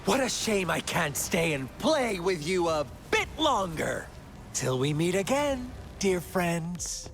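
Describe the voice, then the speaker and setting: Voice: medium pitch, very animated, takes it slowly, slight background noise. An actor playing a politely sinister, playfully destructive video game villain, drawling with theatrical mock courtesy over music. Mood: mocking farewell. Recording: faint music behind the voice.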